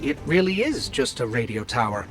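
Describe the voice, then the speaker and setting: An actor voicing a friendly, wry robot companion in a video game, talking in a conversational, earnest way. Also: male voice, medium pitch, big pitch swings, moderate pace, music in the background, close to an everyday voice. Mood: concerned. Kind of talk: video game cutscene acting.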